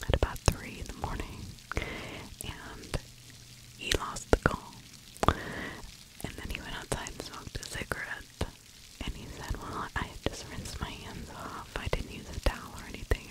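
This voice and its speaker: A middle-aged woman whispering breathily and close to the microphone, telling a true crime story in an ASMR style with halting pauses. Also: rough recording. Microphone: large microphone with furry windscreen, very close.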